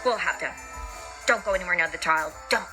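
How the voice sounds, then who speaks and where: A woman acts out a sensational news reporter in a children's story. The voice drops well below her usual pitch and sounds urgent and alarmed, over clear background music. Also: fairly quick, natural voice. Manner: repetitive, urgent warnings.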